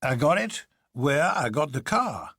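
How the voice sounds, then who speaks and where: A man voicing an excitable old colonel who tells his tale with emphatic relish in an audiobook. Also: a little high, a little slow, husky, dry recording, studio quality, voice pushed up, exaggerated voice. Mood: amused, boastful.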